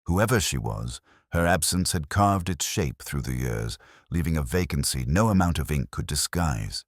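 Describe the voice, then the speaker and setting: Very deep male detective story narrator. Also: lively intonation, dry recording, clean studio sound. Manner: literary, measured, brooding. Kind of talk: scripted first-person detective story narration.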